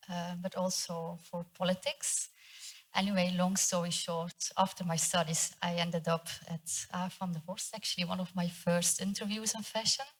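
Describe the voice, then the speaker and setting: A woman from Belgium introducing herself on a panel in a relaxed, conversational way. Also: lowish voice. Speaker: panelist, industry veteran.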